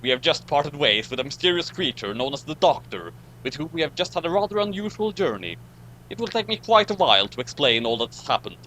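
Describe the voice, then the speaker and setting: A man's voice: fairly high pitch, big pitch swings, fairly quick, pushed and bright. Man acting formal starship captain, faint hum. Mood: formal, dry. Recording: noisy amateur recording with hum.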